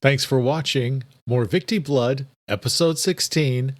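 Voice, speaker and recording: A man's voice: medium pitch, unhurried, no room sound. Male horror audiobook narrator, dry sound. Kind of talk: dramatic scripted horror narration.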